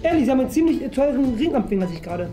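A young woman with a high voice role-plays an inspector. She talks quickly and questions in a stern way, with light music underneath. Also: big pitch swings. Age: young adult. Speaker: host playing a police inspector in a game. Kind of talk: improvised role-play interrogation. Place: small furnished room indoors.

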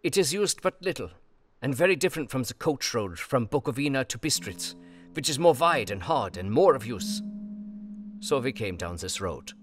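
Earnest man narrating in halting English. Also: mid-range voice, very animated. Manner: earnest, halting foreign phrasing, grows fearful. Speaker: narrator reading an elderly professor's journal. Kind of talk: audio drama reading in broken English. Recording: music bed under voice.

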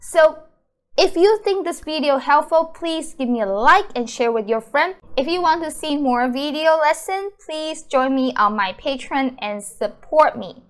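A woman's voice: high voice, lively intonation, measured pace. A woman in her thirties, a native Mandarin speaker, teaching a language lesson to camera indoors and repeating example phrases carefully. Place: small indoor room.

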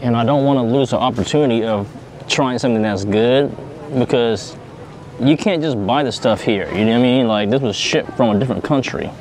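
A man chatting casually to his viewers as he shops in a grocery store, thinking out loud and repeating himself. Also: mid-range voice, brisk. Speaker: vlogger. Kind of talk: casual vlog chat.

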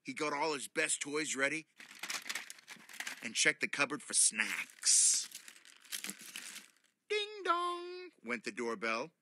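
A middle-aged man reading a children's bedtime story aloud in an animated, playful, theatrical way from a cosy studio armchair. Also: on the high side, takes it slowly, pauses often, noisy background.

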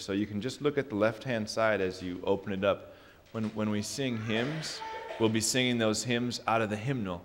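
Pastor with a low voice in a reverberant church. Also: male voice. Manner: measured liturgical reading with plain spoken notices. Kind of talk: leading a worship service. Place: large church sanctuary. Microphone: far camera at back of church. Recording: long church reverb from far off.

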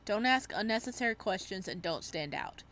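A woman streamer reading a visual novel aloud in an expressive way, breaking off for casual reactions. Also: medium pitch, fairly quick, slight background noise. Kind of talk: let's-play read-aloud with casual reactions.

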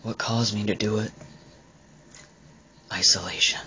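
A man voicing a brooding, bitter young killer artist in a halting, menacing confession, breathy and often dropping to a whisper. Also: fairly low pitch, fairly even intonation, sharp tone, dull audio, slight background noise. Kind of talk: dramatic villain monologue.

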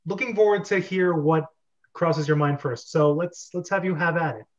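Man hosting online script club, casual, higher voice, dull lofi sound. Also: moderate pace. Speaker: host of a script reading club. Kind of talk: casual moderating of a group table read. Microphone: video call on computer. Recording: dull lo-fi video call audio.

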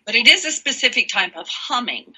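A woman with a self-described low voice, talking slowly and expressively as an interview guest, laughing often. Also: breathy edge, rough recording. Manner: animated, laughs often, slow emphatic phrasing. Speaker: guest, sound healer. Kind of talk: warm expressive interview talk.

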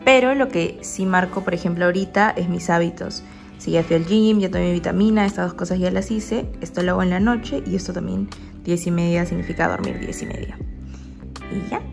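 Woman, twenties, Peruvian Spanish accent, chatty vlog, lowish voice, music in the background. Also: a little fast, vocal fry, a little mellow, reverberant. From Peru. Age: 20s. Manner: talkative, upbeat, confiding to viewers. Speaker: vlogger. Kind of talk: casual chatty vlog narration.

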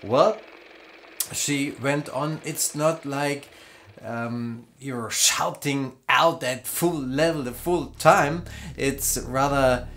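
A German actor in his forties with a German accent, presenting himself slowly and playfully in a studio demo reel. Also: mid-range voice, fairly bright tone, a little hiss or hum. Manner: slow, deliberate, playful, with laughs. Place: green screen studio.

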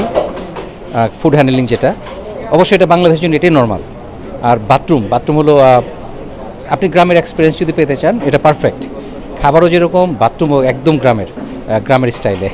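A man with a Bangladeshi accent giving a casual, chatty food review as a vlog host, mixing in English words. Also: medium pitch. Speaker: food and travel vlogger, host. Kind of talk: casual restaurant review to camera. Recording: busy roadside traffic and chatter behind.

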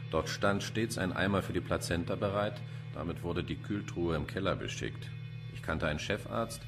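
A very low-pitched older male pathologist in a crime radio play, sardonic and pompous. He talks with dry, theatrical relish, and music plays behind him. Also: gravelly. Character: sardonic, cynical, poetry-quoting male forensic pathologist. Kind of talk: German crime radio drama acting.

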